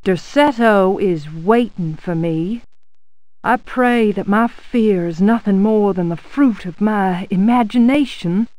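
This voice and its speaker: An actress playing an anxious but resolute genteel niece, narrating a gothic horror game in a formal, dramatic manner. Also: female voice, medium pitch, slow pace, darkish tone, no room sound, lightly acted. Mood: pensive, uneasy. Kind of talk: scripted game monologue narration.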